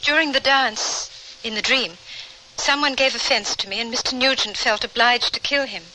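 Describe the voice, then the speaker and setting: A woman's voice: on the high side, normal speed, bright, forceful tone. An actress playing a polite, composed lady traveler of the Old West in an old-time radio drama. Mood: earnest, concerned. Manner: polite, proper, earnest. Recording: noisy old radio broadcast recording.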